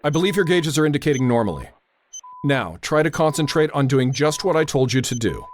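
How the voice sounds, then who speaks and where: An actor playing a steady, grave radio operator who gives precise, authoritative instructions and narrates in the style of old-time radio drama. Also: male voice, on the high side, a little fast, slight background noise, natural voice. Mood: controlled.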